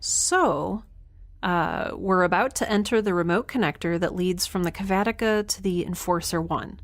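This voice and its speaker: Woman playing low-pitched, nerdy, rambling young heroine. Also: moderate pace, no room sound, close to an everyday voice. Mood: nervous, chatty. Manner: chatty, awkward, earnest, rambles when nervous. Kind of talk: naturalistic audio drama acting with narration.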